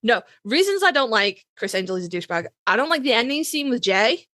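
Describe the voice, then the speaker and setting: Animated, high-voiced Yorkshire woman. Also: fast, dry recording. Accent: Yorkshire English. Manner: sweary, blunt, dramatic emphasis. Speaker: podcast co-host. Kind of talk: casual opinionated fan chat, ranked countdown.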